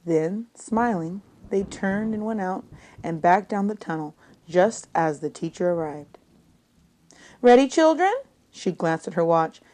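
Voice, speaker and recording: A woman with a slightly low voice narrates a story. Her read-aloud is expressive and measured, with dramatic emphasis suited to literary prose. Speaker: audiobook narrator.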